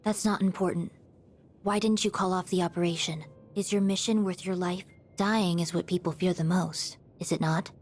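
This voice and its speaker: Woman playing cryptic young woman, lowish voice, detached, blunt, terse, game dialogue over faint music. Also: steady tone, normal speed, a little mellow, natural voice. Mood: cool, probing.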